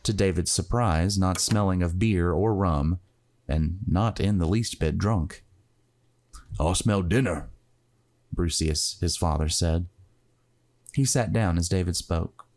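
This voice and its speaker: A man's voice: low voice, a little slow, a little mellow. A man narrating a scripted fantasy story, with plucked guitar music playing behind him.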